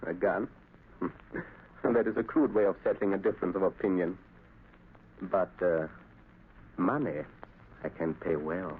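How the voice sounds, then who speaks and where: A suave, menacing villain doctor who drawls his threats with slow deliberation. The sound is thin and phone-like, an old broadcast with light hiss. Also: deep voice, steady tone. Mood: suave menace. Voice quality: smooth, deliberate villain delivery. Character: scheming male saboteur doctor, suave and menacing. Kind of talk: 1930s radio drama acting. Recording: tinny old radio recording, hiss.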